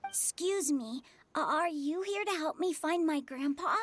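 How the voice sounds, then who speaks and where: An earnest little boy from an anime game. The voice is very high and childlike. He speaks haltingly and sincerely, like a village kid. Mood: pleading. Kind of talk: English anime game dub.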